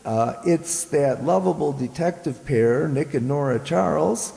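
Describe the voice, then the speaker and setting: A man announcing the credits of an amateur radio drama, in a measured, playfully formal way. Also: a little high, creaky, warm tone. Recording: echoey hall with background noise.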